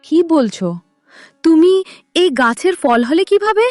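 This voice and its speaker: High, pleading young cartoon mother. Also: expressive, measured pace, darkish tone, no echo, faint music behind. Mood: astonished. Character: meek, pleading young mother cast out with her daughter. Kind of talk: cartoon moral story acting.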